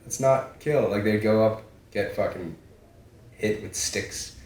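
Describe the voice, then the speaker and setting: A man's voice: on the low side, takes it slowly, a little mellow. A young man hosting a podcast, talking in a laid-back way with casual swearing. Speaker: podcast host. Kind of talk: casual chat. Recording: echoey room, distant mic.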